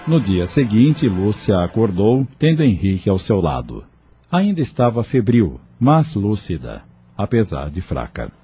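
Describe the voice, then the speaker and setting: A man narrating an audio novel in a measured, expressive storytelling way. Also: fairly low pitch, faint music behind.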